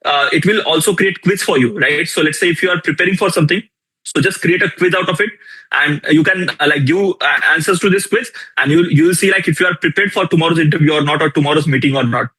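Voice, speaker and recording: Energetic Indian man teaching a workshop. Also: on the high side, quick pace, breathy edge, poor audio. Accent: Indian English. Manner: energetic, repeats phrases, asks the audience questions. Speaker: workshop instructor. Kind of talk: live online tool demonstration.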